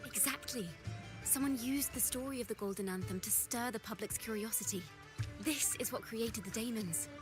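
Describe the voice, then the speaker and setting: An actress playing an earnest, defiant young woman poet, speaking in measured, dramatic lines for a video game. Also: fairly high pitch, natural voice. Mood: firm, assured. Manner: declamatory, measured, poised storytelling. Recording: game music under the voice. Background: loud game music.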